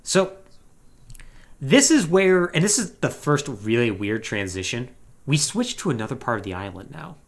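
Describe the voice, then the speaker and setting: Man, podcast host, lively varied intonation, casual story recap, conversational, wry asides. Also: mid-range voice, moderate pace. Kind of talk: casual plot recap commentary on a video game podcast.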